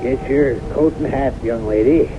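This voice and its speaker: An actor playing an insistent, jovial middle-aged father in a blustery, animated radio drama manner. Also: medium pitch, measured pace, lightly acted. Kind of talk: 1970s radio drama acting. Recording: muffled noisy old radio recording.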